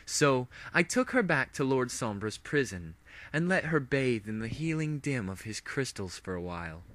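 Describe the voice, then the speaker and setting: A man's voice: medium pitch, very animated, moderate pace, fairly bright tone, no echo, pitched above the performer's own voice. An insane villain princess pony from an audio story, acted out by a man. The voice is raised and swoops up and down, gloating, cooing and full of theatrical menace. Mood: possessive, cooing.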